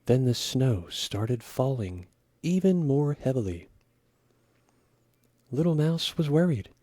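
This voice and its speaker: A man reading a children's story aloud with expressive narration. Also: medium pitch, slow, dry recording.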